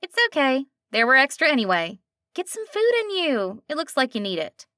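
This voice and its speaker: A woman's voice: sits high, very animated, normal speed, pushed and bright, no room sound, clean studio sound, voice pushed up, heavily acted. A woman reading aloud, voicing a friendly, tired woman cashier in her forties with a slight nasal twang and a folksy, reassuring manner. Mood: kindly reassuring. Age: 40s.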